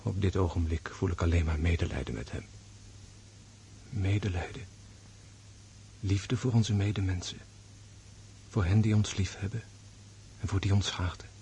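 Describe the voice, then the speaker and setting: An actor playing a gravely wounded nobleman in a radio drama, tender and sorrowful, musing in a hushed, introspective way. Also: sits low, slight background noise. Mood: tender, compassionate. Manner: slow, hushed, introspective monologue.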